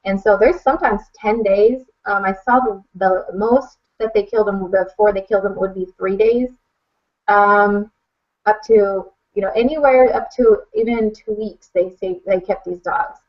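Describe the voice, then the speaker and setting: A woman's voice: mid-range voice, fairly even intonation, moderate pace. A woman speaking emphatically and frankly as a podcast guest, giving a casual personal account. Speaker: animal rescue advocate, podcast guest. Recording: dull lo-fi podcast recording.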